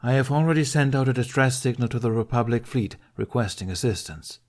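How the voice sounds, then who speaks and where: A man playing a calm, commanding Jedi master. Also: medium pitch, pitched above the performer's own voice, lightly acted. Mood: reassuring, projecting. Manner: formal, measured, enunciated. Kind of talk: acted audiobook dialogue in a science fiction story.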